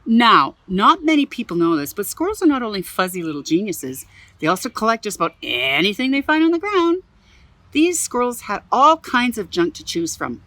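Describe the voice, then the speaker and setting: An animated woman storyteller reading a picture book aloud to children, dropping chatty asides between pages. Her pitch rises and falls all over the place. The recording is close and dry. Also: moderate pace, dry recording. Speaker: museum storyteller.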